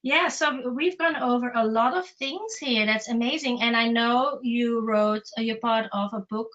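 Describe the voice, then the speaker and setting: A woman's voice: a little high, moderate pace, a bit bright. A woman whose first language is not English, chatting casually and self-deprecatingly as an interview host. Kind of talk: casual online conversation. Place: remote video call. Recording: dull lo-fi video-call audio.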